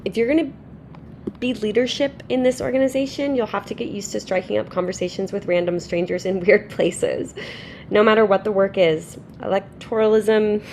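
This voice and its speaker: Woman acting combative militant socialist gal, sardonic and argumentative, faint rumbly noise, play reading. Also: vocal fry, close to an everyday voice. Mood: encouraging. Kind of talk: live staged play reading.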